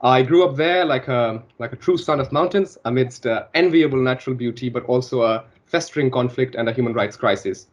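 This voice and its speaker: A man's voice: medium pitch, a little fast, hardly any pauses, highs cut off. A young man from Kashmir giving a formal, eloquent self-introduction as a student election candidate.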